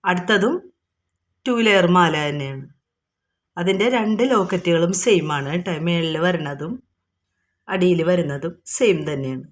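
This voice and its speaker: A woman's voice: lowish voice, moderate pace, pauses often. A woman with a Kerala accent informally showing products item by item, explaining each and repeating prices in an unscripted, repetitive way.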